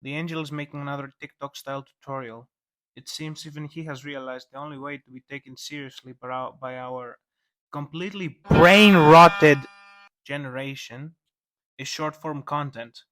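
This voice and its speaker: A man's voice: a little high, steady tone, normal speed, a bit bright, low-quality recording. A man narrating scripted analysis as a video essayist, with casual asides.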